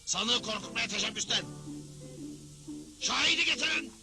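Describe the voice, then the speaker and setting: A man's voice: very high voice, animated, normal speed, music in the background. A man playing a pompous, greedy old frontier judge in a dubbed cartoon, declaiming officiously. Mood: officious, brazen. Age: older.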